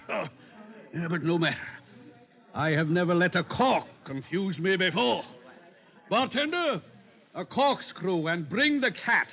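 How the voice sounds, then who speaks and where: An actor playing a florid, thirsty drunk informant in an old radio crime drama, speaking in pompous, theatrical phrases. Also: male voice, sits high, expressive, slow pace, vocal fry, pauses often, sharp tone, heavily acted. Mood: theatrical, wistful. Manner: pompous, flowery, theatrical speech. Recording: dull lo-fi old radio recording.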